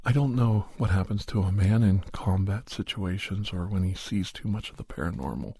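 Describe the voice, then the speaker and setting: A man narrating a first-person horror story in an ASMR style, hushed and deliberate, often pausing mid-phrase. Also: low voice, breathy edge, dry recording, studio quality. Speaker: podcast host and storyteller.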